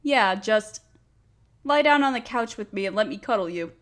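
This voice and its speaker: A woman's voice: mid-range voice, animated, moderate pace, natural voice. A woman playing a nervous, smitten, nerdy young woman in an intimate romantic audio roleplay, speaking hesitantly with stammers and sighs. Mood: happy, affectionate.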